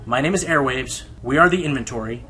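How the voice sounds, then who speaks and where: A man's voice: mid-range voice, fairly quick, bright, forceful tone, recorded from a distance, soft background music, close to an everyday voice. A man in a radio play voicing an earnest, exasperated pony radio host playing amateur sleuth. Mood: resolute.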